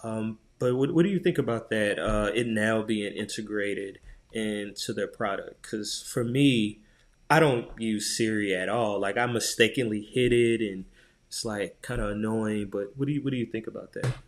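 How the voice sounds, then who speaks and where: A man's voice: on the low side, normal speed. A man co-hosting a podcast, talking casually and thinking aloud in informal, slangy speech. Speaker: podcast co-host. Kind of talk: casual chat about tech.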